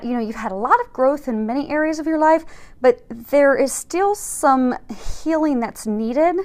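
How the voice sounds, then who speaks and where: This is a woman with a fairly high voice, hosting a spiritual tarot reading. She talks to her viewers casually and conversationally. Her manner is reassuring and encouraging throughout. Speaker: tarot card reader and host.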